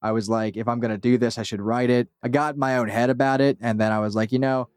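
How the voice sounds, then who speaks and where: A man's voice: mid-range voice, level tone, fast talker, darkish tone, smooth, no echo, studio quality. A man in his thirties chatting casually as an interview guest, candid and self-deprecating about himself. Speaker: podcast creator guest.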